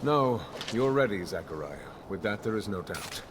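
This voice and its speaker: Grave, low-voiced mentor, played by a man. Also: animated, noisy background, close to an everyday voice. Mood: weary concern. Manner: measured, formal, solemn. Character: grave male master technomancer, protective, weary mentor. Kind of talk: video game voice acting.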